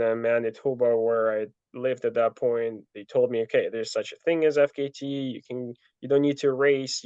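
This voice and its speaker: A young man telling his story in a relaxed interview, full of fillers and restarts. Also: mid-range voice, clean tone, dry recording. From Ukraine. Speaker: guest, ultra trail runner. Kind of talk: casual interview answers. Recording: dull lo-fi dry sound.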